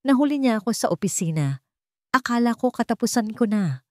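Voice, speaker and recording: A woman's voice: on the high side, very animated, brisk, no room sound, studio quality, pitched above the performer's own voice. A woman voicing a devoted, frightened young man in an audiobook drama, earnest and intense. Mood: shaken, relieved.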